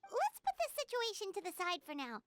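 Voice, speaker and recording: A woman voicing a tiny, chirpy fairy girl companion in a video game, squeaky and childlike, earnest and curious. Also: huge pitch swings, fairly quick, a little hiss or hum, heavily acted. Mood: eager, watchful.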